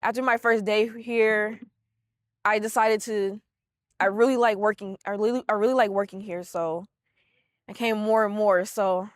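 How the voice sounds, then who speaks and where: A young adult woman volunteer speaking casually and a bit haltingly in a documentary interview. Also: mid-range voice, fairly even intonation, dry recording, studio quality.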